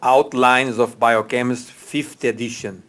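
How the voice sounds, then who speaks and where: A man moderating an online biochemistry quiz on a video call, speaking in a measured way with frequent fillers. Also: mid-range voice, fairly even intonation, a bit bright.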